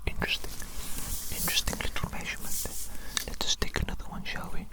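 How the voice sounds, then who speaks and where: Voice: quick pace, lightly acted. A performer voicing an eccentric, doting evil doctor in a whispered ASMR roleplay, repeating phrases in soothing, formal wording. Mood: curious, approving. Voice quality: breathy unvoiced whisper. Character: eccentric evil mad scientist doctor, doting creator, obsessed with science.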